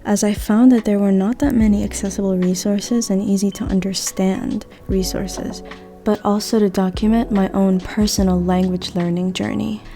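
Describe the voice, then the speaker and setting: A young woman and native Arabic speaker talks through a scripted lesson on her own channel. Her voice is mellow and soft-edged, and she explains things in an earnest, measured way. Faint music plays behind her. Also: medium pitch, fairly even intonation. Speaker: language teacher and channel host. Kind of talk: scripted educational monologue.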